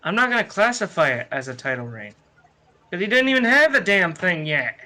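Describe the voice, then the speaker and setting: A man's voice: sits high, sing-song, fairly quick, sharp tone. A man giving opinions casually on a sports podcast, blunt and emphatic in how he talks. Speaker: wrestling podcast panelist.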